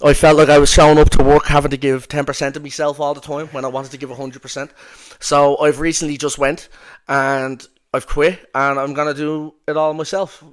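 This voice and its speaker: A young man with a broad Dublin accent hosts a relaxed podcast conversation. He rambles, swears casually and leans on fillers as he talks. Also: on the high side, fairly quick, clean tone. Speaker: podcast host. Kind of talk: casual chat.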